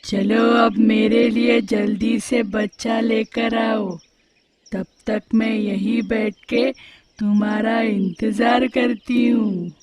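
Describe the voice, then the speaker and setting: A man's voice: sits high, flat delivery, slow pace. A man voicing a cruel old witch who hunts children in a fairy-tale cartoon, with a menacing, theatrical delivery. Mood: impatient, eager. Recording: loud music behind the voice.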